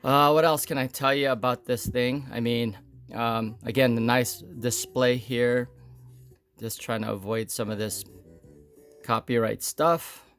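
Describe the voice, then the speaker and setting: A man with a mid-pitched voice talks slowly through an unscripted hands-on demo. He explains things in a plain, low-key way, breaking his sentences into short, halting phrases with pauses. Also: fairly even intonation, fairly bright tone, clean tone, faint music behind. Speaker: product demonstrator.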